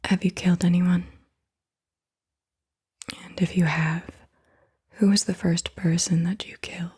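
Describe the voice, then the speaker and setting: A woman ASMR host whispering softly and deliberately in short, breathy phrases, guiding the listener through questions. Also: fairly low pitch, level tone. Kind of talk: soft-spoken whispered ASMR, guided questions.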